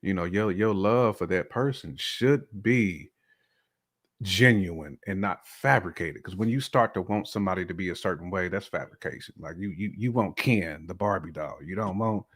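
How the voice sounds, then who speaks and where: A man's voice: low-pitched, normal speed. An American man in casual podcast conversation, talking colloquially and emphatically, often checking that the listener follows. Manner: colloquial, emphatic, with frequent "you know" check-ins. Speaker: podcast guest or co-host discussing relationships.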